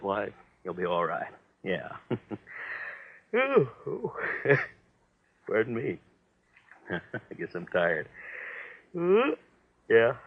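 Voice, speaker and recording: An actor playing a tired, fussy, well-meaning American husband in 1940s radio drama, with rambling, hesitant, folksy patter. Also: male voice, mid-range voice. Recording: dull old radio drama recording.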